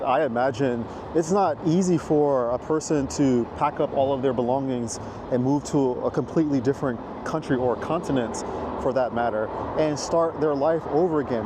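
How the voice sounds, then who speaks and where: A man's voice: mid-range voice, noisy background. An American man vlogging outdoors while walking, talking in a rambling, chatty way with lots of fillers. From United States. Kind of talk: casual walking vlog. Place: outdoors in a city park walkway.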